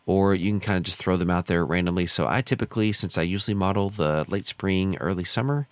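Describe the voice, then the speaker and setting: An American man with a slightly hoarse, stuffed-up voice from a cold, giving easygoing, casual tutorial commentary as a channel host. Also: deep voice, fast, dry recording. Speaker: host of a model railroad simulator channel.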